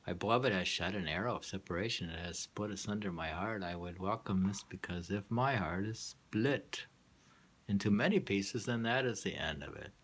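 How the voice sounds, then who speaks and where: A man reading his own poems aloud in a halting, musing way, with muttered asides. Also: low-pitched, a bit bright, breathy edge. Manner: halting, musing, hums to himself between lines.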